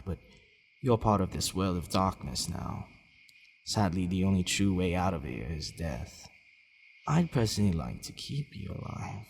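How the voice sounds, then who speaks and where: A man playing a possessive, aloof but softening vampire lord in an intimate, slightly haughty ASMR roleplay audio drama, with a low, gravelly voice. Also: exaggerated voice. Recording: long reverb, faint background noise.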